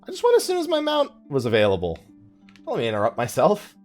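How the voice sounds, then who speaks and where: A man's voice: on the high side, huge pitch swings, quick pace, faint music behind. A man giving casual let's play commentary, chatting and rambling as he thinks aloud while he plays. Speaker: gaming YouTuber, let's play host.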